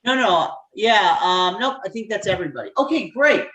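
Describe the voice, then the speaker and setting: A woman hosting an online meeting over a video call, chatty and halting, with lots of ums and restarts. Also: medium pitch, expressive, a bit bright, echoey room, highs cut off. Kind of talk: casual video-call facilitation.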